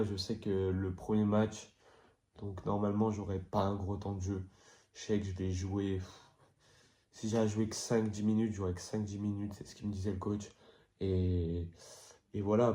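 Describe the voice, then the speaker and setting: A young man with a cold talks in a low, flat, mellow voice, rambling through loose explanations. His voice rings around an echoey room. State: has a cold. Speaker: vlogger and player. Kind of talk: casual vlog chat.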